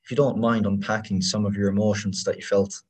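A male podcast host with a fairly low voice conducts a sports interview. His phrasing is wordy and measured, and he sounds set back from the microphone. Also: little pitch movement, darkish tone, husky. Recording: distant from the microphone.

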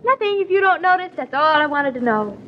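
High-voiced, girlish young wife. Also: big pitch swings, a little fast, a little hiss or hum. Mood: fishing, hurt. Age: young adult. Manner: artless, wondering, questioning. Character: naive, talkative young expectant wife, anxious and girlish. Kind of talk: old-time radio drama acting. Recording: dull, lo-fi old radio recording.

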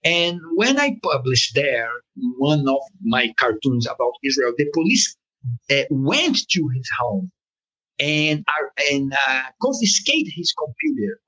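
Slow, hesitant man with a breathy voice. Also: on the high side, sing-song, sharp tone, dull audio. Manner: hesitant, many fillers and restarts. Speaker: cartoonist interviewee. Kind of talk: conversational interview answer.

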